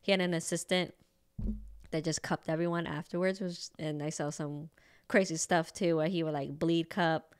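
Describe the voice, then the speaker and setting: A woman talks loosely on a podcast. She rambles along, full of fillers and small laughs, in a smooth, resonant voice pitched on the low side. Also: fairly even intonation, normal speed, a little mellow. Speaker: acupuncturist podcast co-host. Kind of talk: casual chat.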